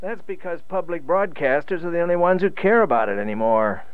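An actor playing a weary, gentle, migraine-stricken husband in a radio drama, speaking haltingly, in a slightly dull recording. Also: male voice, a little high, lively intonation, smooth, dry recording, close to an everyday voice. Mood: weary, tender.

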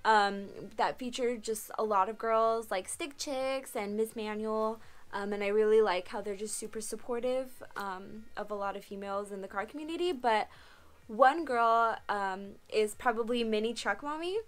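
A woman's voice: fairly high pitch, moderate pace. A young woman in her twenties chatting casually to a webcam in her bedroom, answering viewer questions with lots of "um" and "like". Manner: chatty, frequent "um" and "like", laughs often. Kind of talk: casual Q&A vlog. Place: small bedroom, indoors.

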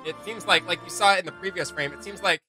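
A man's voice: high-pitched, quick pace, pushed and bright, soft background music. A man giving casual, chatty reaction commentary as a host, often feeling his way through a phrase. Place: small home gaming room, indoors.

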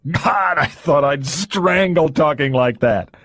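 A cocky young American lieutenant, played by a male narrator, jokes with easy confidence. The voice is lifted a little higher than the reader's own, slightly husky and dry. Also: slow, no echo, full character voice. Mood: amused. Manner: wisecracking, relaxed.

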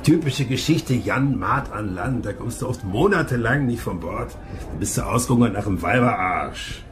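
A man acting a low-voiced, coarse old bosun. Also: lively intonation, moderate pace, noisy background, lightly acted. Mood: defensive. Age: 50s. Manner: coarse, swearing, self-justifying. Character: gruff whaling bosun in his fifties, defensive, hardened sailor. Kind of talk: radio play acting.

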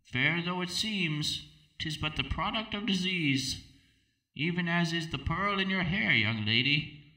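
An old ghost lady out of a storybook, acted by a man, speaks solemn, cryptic warnings. The voice is raised in pitch and very slow, with ominous weight. It sounds distant from the microphone. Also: animated, bright, forceful tone, heavily acted. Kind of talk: archaic storybook reading.

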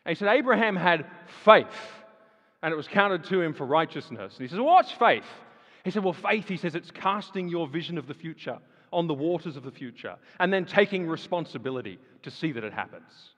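A man's voice: fairly high pitch, lively intonation, brisk, a bit bright. A man giving a sermon-style talk to a live audience, speaking conversationally with fillers and rising emphasis. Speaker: guest speaker. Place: large hall with audience. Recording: dull sound with long hall reverb.

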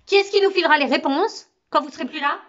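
An excitable schoolboy, put on by a woman reading a storybook, pushes his words out urgently and loudly. The voice sits high and bright, and the recording sounds slightly muffled. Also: a little slow. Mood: anguished. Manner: urgent, pleading, loud. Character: excitable schoolboy, bespectacled class ringleader.

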